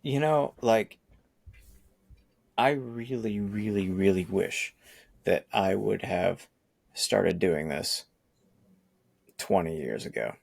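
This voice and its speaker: A man's voice: low voice, slow, gravelly, long pauses, a little mellow. A man in his forties co-hosting a podcast, chatting casually in long rambling runs with frequent restarts. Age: 40s. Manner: rambling, restarts often, laughs easily. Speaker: podcast co-host. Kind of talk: casual chat.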